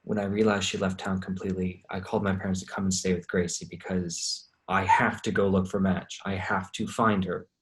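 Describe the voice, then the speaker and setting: A man playing an anxious, grieving widower in a staged play reading, speaking in a halting, earnest way. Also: low voice, level tone, fairly bright tone. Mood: anxious, pleading. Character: grieving anxious widower searching for runaway young sister-in-law.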